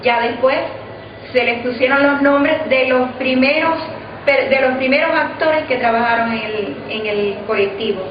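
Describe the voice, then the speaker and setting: A woman's voice: high voice, moderate pace, a crowd in the background. A woman recounting memories of a radio drama group in an interview style. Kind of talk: interview recollection for a documentary. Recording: roomy, muffled sound, murmur behind.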